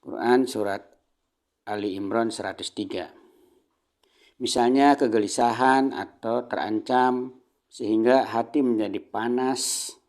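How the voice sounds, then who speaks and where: A man with an Indonesian accent giving an Islamic sermon as a prepared lecture, teaching in measured phrases and often clearing his throat. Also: medium pitch, a little mellow. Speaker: religious lecturer.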